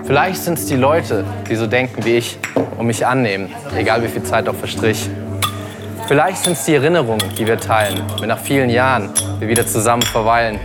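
A man, a parent, slowly reciting rhyming spoken-word poetry. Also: medium pitch. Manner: slow, rhyming delivery. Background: music.

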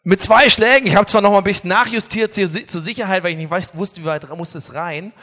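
A man preaching a sermon as pastor, telling stories with humor in a lively way. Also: high voice, quick pace. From not from Bavaria or Austria. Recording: echoey hall, faint music.

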